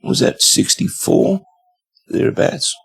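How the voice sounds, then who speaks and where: A man in his seventies with an Australian accent, a guest telling stories in a relaxed, rambling way with many fillers. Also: slow pace. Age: 70s. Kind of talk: casual storytelling interview.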